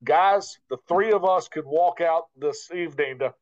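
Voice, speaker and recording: A man, a sports broadcaster, giving measured, explanatory answers as a guest in an interview. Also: fairly high pitch. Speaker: guest radio play-by-play broadcaster. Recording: dull lo-fi line.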